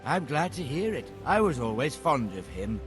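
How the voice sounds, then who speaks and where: An actor playing a crotchety, weary old record keeper in a video game, grumbling in a patronizing way. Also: male voice, mid-range voice, very animated, moderate pace, music in the background, natural voice. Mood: fond, softened. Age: older. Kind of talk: video game cutscene acting.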